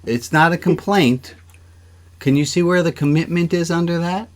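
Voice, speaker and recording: A man's voice: on the high side, normal speed. Man rabbi leading an informal online group. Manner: conversational, asks the group questions. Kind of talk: informal interactive group service talk. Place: online video-call gathering.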